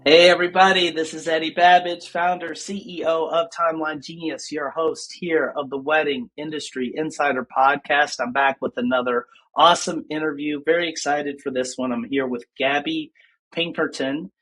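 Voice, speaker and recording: Upbeat husky male podcast host. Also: on the high side, fairly even intonation, normal speed, a bit bright, low-quality recording. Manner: upbeat, enthusiastic. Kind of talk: interview hosting.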